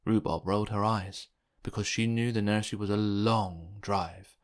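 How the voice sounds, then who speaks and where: A man narrating a children's picture book as an expressive read-aloud. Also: fairly low pitch, normal speed.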